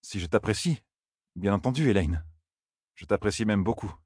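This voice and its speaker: A man voicing a shy, awkward young shepherd in an audiobook, speaking quietly and hesitantly in fumbling phrases. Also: fairly low pitch, animated, moderate pace, slightly rough, no room sound, clean studio sound. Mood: sincere, shy. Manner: hesitant, fumbling, quiet, breaking off mid-sentence.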